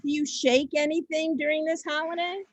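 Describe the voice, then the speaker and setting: A woman with a high voice moderates a community show on a video call. She is flustered and halting, repeats herself, and sounds close and dry. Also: flat delivery, no room sound. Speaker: host of a community reader's theater show. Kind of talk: semi-scripted moderating.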